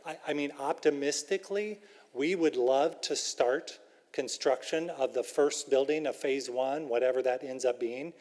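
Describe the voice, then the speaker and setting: A man speaks for a school at a community workshop and answers residents' questions with careful, hedged replies. His voice sits at an ordinary pitch. A long reverb from the meeting hall trails behind each phrase. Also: normal speed, a little mellow. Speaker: school representative.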